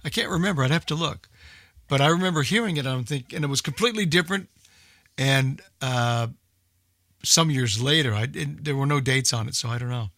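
A man's voice: mid-range voice, fairly quick, fairly bright tone, no echo, clean studio sound. An older man chatting casually about old-time radio as a host and collector.

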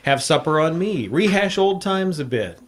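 A man's voice: on the high side, big pitch swings, moderate pace. An actor playing a hard-boiled, sly American freelance newspaperman, with a clipped, wry delivery in an old-time radio drama. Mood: casual, probing. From United States, San Francisco. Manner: clipped, wry, world-weary storytelling. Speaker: lead actor and narrator. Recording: vintage radio broadcast.

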